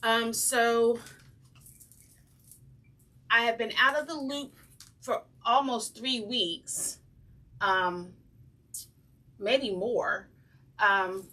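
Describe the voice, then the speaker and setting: A woman with a slightly raspy voice hosting a casual crafting livestream, chatting with viewers and saying um often. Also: on the high side, steady tone, very slow, pauses often, sharp tone, recorded from a distance, poor audio. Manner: chatty, greets viewers by name, frequent ums. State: slightly raspy from breathing trouble. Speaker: craft channel host.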